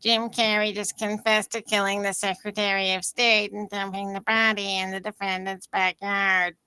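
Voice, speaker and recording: Woman as a crisp, bright, deadpan court officer. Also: medium pitch, moderate pace, no echo, lightly acted, rough recording. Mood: matter-of-fact. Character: matter-of-fact court officer reporting absurd findings. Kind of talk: comedy script table read.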